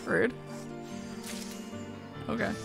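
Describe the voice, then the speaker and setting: A woman game streamer giving casual, blunt commentary, often muttering to herself and swearing. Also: drawn-out words. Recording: faint music behind.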